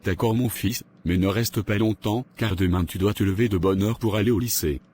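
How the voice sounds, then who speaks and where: A man's voice: lowish voice, fairly quick, background noise, lightly acted. A man playing earnest devout father. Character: devout middle-aged father.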